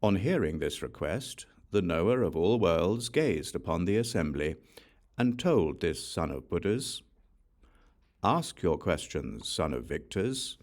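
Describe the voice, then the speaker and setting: A man reading a scripture audiobook, reciting verse in a measured, deliberate and solemn manner. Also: deep voice, sing-song. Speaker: audiobook reader.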